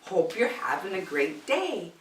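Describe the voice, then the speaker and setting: A woman hosting story time, reading a children's book aloud in an expressive, deliberate, sing-song way. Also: fairly low pitch. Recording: echoey room.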